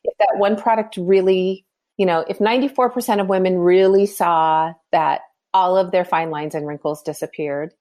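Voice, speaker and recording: A woman's voice: fairly low pitch, steady tone, normal speed, darkish tone. Earnest woman explaining her skincare brand. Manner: earnest, explanatory, many restarts. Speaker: skincare brand founder, guest. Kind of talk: conversational podcast interview.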